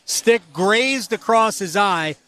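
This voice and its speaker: A man hosting a hockey radio intermission, talking through highlights in an unscripted, halting way and often correcting himself. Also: lively intonation, bright, forceful tone. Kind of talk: unscripted sports highlight commentary.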